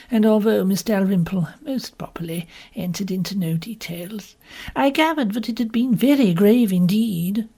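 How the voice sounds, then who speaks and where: A man voicing a prim, gossipy spinster who narrates an audiobook story in fussy, measured asides. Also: high voice, vocal fry, warm tone, full character voice. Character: prim, gossipy English village spinster telling her story. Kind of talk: first-person audiobook narration.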